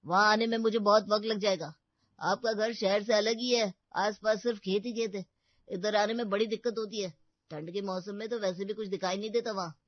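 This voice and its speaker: A woman's voice: mid-range voice, steady tone, brisk, no room sound, close to an everyday voice. A woman doing a grumbling bus driver. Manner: blunt, grumbling. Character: gruff impatient male school bus driver. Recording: dull, muffled audio.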